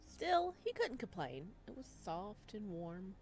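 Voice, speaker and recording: A woman hosting a visual novel let's play, playful and expressive as she chats and reads aloud. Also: medium pitch, takes it slowly, faint music behind. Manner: playful, expressive reactions, addresses viewers. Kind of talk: visual novel playthrough, chatty commentary and read-aloud narration.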